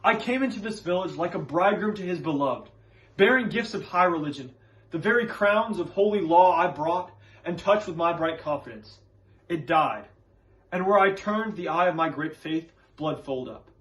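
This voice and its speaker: Man acting an earnest, high-voiced Puritan reverend. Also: lively intonation, moderate pace, fairly bright tone, low-quality recording. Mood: remorseful urging. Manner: emphatic, preacherly, formal archaic phrasing. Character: earnest, scholarly Puritan witch-hunting reverend. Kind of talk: dramatic stage monologue.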